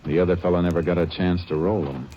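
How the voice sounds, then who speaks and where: An actor playing a steady, matter-of-fact lawman who narrates his case in an old radio drama, with clipped delivery. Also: low voice, fast talker, highs cut off, a little hiss or hum, natural voice. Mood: terse. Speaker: narrator and lead. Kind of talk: 1950s radio drama acting.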